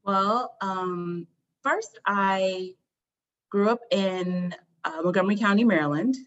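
A woman from the Washington DC area, a guest expert speaking in a measured, explanatory way. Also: medium pitch, a bit bright, muffled recording. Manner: measured, explanatory, some fillers. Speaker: guest expert on student mental health. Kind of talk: interview answers.

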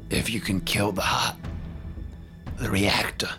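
A man's voice: fairly low pitch, steady tone, over music. A man voicing a dying, mold-covered undead creature in a strangled, whispery voice, speaking in solemn, cryptic fragments for an improvised audio drama. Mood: grave, cryptic. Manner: halting, cryptic short phrases.

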